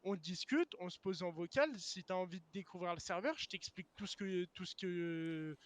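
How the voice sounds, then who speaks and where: A man's voice: high voice, moderate pace. A man arguing casually in online game voice chat, slangy, blunt and defensive. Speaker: game server founder.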